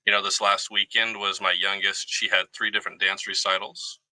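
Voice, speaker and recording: A man's voice: fairly low pitch, level tone, moderate pace, sharp tone, no room sound, poor audio. A man in his forties talking casually and reflectively as a guest, with a self-deprecating, joking manner. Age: 40s. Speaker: guest, Army veteran.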